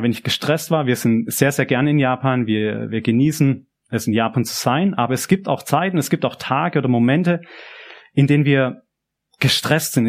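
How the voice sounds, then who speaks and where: A young man with a southern German accent giving a personal, conversational youth church sermon, often repeating and restarting phrases. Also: medium pitch. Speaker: preacher.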